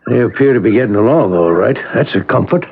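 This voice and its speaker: Folksy gossipy neighbour man, creaky lively voice, tinny old radio drama. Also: medium pitch, fast talker, over loud music. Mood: curious, dismissive. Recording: thin lo-fi vintage radio, faint noise.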